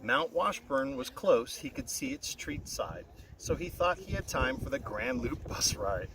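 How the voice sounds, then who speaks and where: A man reads a rhyming children's story in a singsong, expressive voice with a very animated pitch. He sounds set back from the microphone, and loud wind-like noise covers the recording. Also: unhurried. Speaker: storybook reader. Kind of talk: read-aloud of a rhyming children's picture story. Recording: very noisy, windy, distant-mic recording.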